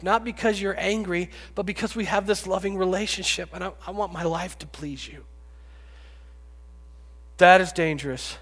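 A man in his fifties preaching a sermon, rising to loud pleading and dropping to hushed whispers. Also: high-pitched. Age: 50s. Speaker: pastor. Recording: long reverb, echoey hall.

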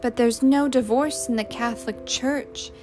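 A woman's voice: high voice, a little slow, music in the background, pitched above the performer's own voice. Woman playing an earnest, guileless young wife. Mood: earnest, defensive. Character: unrepentant, guileless working-class wife, earnest and adoring.

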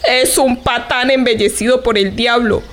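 An actress playing a blunt, indignant old maidservant in a radio drama, reproachful and emphatic. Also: female voice, a little high, steady tone, moderate pace, fairly bright tone, lightly acted. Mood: scornful. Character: old maidservant, blunt, scolding, wounded confidante.

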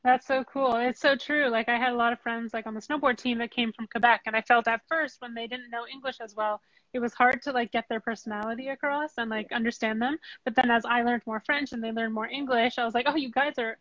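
A woman interviews an athlete in a relaxed, friendly way and chats about her own life too. Her voice is fairly high and the sound is dull and dry, as over a video call. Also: fast talker, hardly any pauses, no echo. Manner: friendly, casual, uses "like". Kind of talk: casual interview chat.

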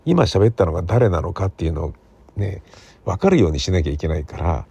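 A man talking casually on his own, thinking aloud in a rambling, self-correcting way with a gravelly, husky voice. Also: deep voice, big pitch swings, a little fast, warm tone. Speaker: radio drama writer and theatre playwright.

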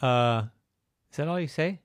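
A man voicing an eager, excitable little boy in a children's story, with an exclamatory, sing-song way of talking. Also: on the high side, a little slow, no room sound, clean studio sound, close to an everyday voice. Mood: puzzled, insistent.

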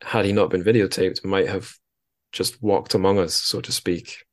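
Deep-voiced man hosting a casual interview. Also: fairly even intonation, normal speed. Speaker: podcast host, interviewer.

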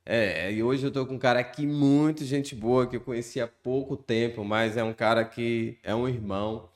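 A man's voice: medium pitch, fairly even intonation, normal speed. A man around forty hosting a podcast, upbeat and jokey in a chummy way. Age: 40s. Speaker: podcast host.

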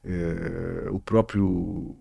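A middle-aged man with a Carioca Brazilian accent talks in a gravelly, husky voice, rambling, swearing freely and circling back over his own words. His voice sits fairly low and sounds close and dry. He speaks as a guest in a loose podcast chat. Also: level tone, very slow, mellow, dry recording. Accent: Carioca Brazilian Portuguese. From Rio de Janeiro, Brazil. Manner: rambling and profane, repeats words often. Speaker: guest, writer and musician.

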